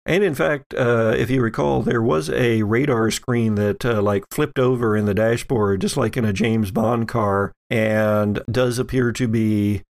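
A man acts as game master for a tabletop roleplaying session. His voice has plenty of fry and he speaks slowly. He lays out the story in measured, halting phrases. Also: on the low side, steady tone, a little mellow.

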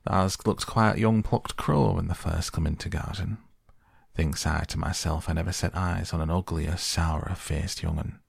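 A man voicing a gruff, sarcastic old Yorkshire gardener with blunt, grumbling dialect speech in an audiobook story. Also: deep voice, fairly even intonation, moderate pace, dry recording, studio quality, exaggerated voice. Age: older.

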